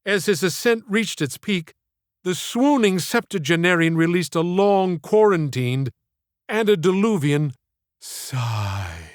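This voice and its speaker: Guy reading an audiobook, bit high voiced, measured and theatrical, super dry recording. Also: lively intonation, clean tone, no room sound. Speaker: author reading own audiobook. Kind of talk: scripted literary narration.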